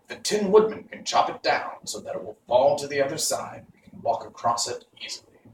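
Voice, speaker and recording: Man playing a measured, logical storybook scarecrow. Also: mid-range voice, very animated, roomy sound, recorded from a distance, slight background noise, lightly acted, low-quality recording. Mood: calm reasoning. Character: male living scarecrow, calm, logical, self-sacrificing.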